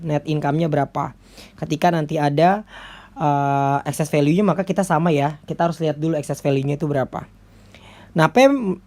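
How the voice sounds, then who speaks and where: A woman's voice: lowish voice, dark tone. A woman with an Indonesian accent giving an explanatory lecture step by step, in a conversational manner with frequent little check-in tags. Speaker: accounting lecturer.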